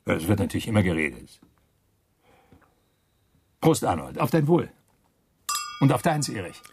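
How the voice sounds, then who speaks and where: A man's voice: lowish voice, sing-song, measured pace, gravelly, darkish tone, slight background noise, exaggerated voice. An actor playing a pushy, persuasive male wartime schemer in a German radio play, chummy with a veiled threat. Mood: insinuating.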